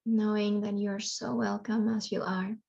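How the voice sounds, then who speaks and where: A woman leads a guided relaxation as a yoga nidra teacher. Her voice is mellow and dark, with very little rise and fall in pitch. She speaks soothingly, in unhurried phrases separated by long pauses. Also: flat delivery, vocal fry, lo-fi sound. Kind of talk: guided meditation.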